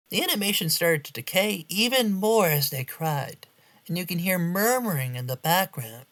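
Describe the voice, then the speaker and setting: A woman's voice: sits low, fairly bright tone. A woman reading a scripted horror story aloud as its narrator.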